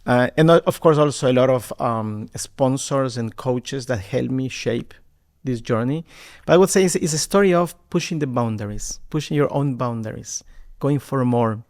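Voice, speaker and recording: Man from Ecuador, mid-pitched voice, animated storyteller, repeats words when starting. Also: normal speed. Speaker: podcast guest, marketing executive. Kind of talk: conversational storytelling.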